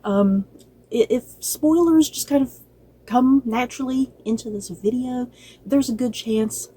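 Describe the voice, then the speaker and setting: A woman's voice: fairly high pitch, measured pace, warm tone, slightly rough, poor audio. A woman giving a casual, unscripted review to viewers as a channel host, chatty and rambling, often circling back to repeat herself.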